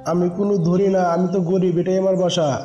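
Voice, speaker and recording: A man voicing a plain-spoken, gently reproving adult bird in a cartoon. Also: sits high, little pitch movement, a little fast, dull audio, close to an everyday voice. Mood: matter-of-fact. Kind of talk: cartoon character dialogue. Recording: echoey room with clear music behind.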